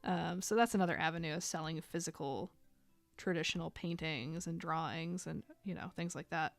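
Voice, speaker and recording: Lowish voiced woman rambling on a live stream while she draws, lots of "like", faint music under. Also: moderate pace, creaky. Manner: rambling, chatty, many "like"s. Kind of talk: casual live-stream chat while drawing. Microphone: desk microphone.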